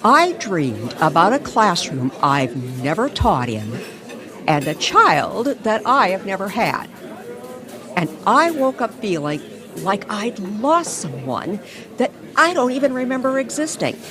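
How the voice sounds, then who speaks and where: Woman acting a shaken, sceptical reporter, animated delivery. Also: medium pitch, unhurried. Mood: shaken, demanding. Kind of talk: old-time radio drama acting. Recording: crowd chatter behind the voice.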